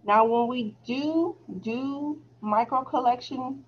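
A soft-spoken woman teaching a relaxed phlebotomy review lecture over a video call, chatty and laughing easily. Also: sits high, takes it slowly, a little hiss or hum. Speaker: phlebotomy instructor. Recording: slightly dull video call audio.

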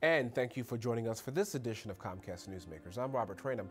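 A man in his forties hosting a TV studio interview, polished and smooth, leading his guest with questions. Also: medium pitch, expressive, fast talker, soft background music. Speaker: TV host. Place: TV news studio.

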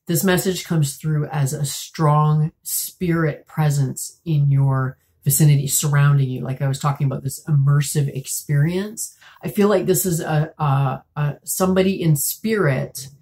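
A woman in her thirties giving a tarot reading to camera at home, a bit congested and raspy from a cold, talking in a soft, musing way with many fillers. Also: deep voice, fairly even intonation, a little slow. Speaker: tarot reader. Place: small room at home, indoors.

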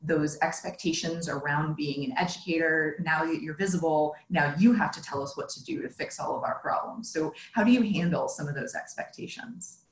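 A woman's voice: low-pitched, normal speed, few pauses. A woman moderating a panel conversationally over a roomy-sounding video call. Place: indoor room, video call.

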